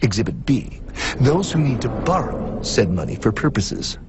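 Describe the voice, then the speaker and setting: A man voicing a solemn, pompous male mystery-show narrator in a cartoon, formal and lecturing with dramatic pauses. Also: medium pitch, very animated, highs cut off, noisy background, natural voice. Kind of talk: cartoon voice acting. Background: music.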